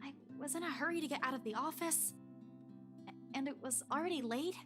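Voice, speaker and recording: High young female game character, acted by a woman, evasive, apologetic, brushing off worry, music behind. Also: brisk, lightly acted. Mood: defensive.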